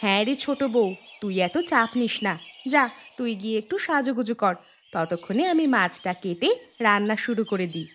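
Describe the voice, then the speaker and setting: A woman's voice: a little high, expressive, moderate pace, fairly bright tone, a little hiss or hum. A woman voicing a scheming, jealous young daughter-in-law in a cartoon story, with a theatrical, gloating delivery. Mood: teasing. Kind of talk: Bengali cartoon storytelling voice acting. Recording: background music under voice.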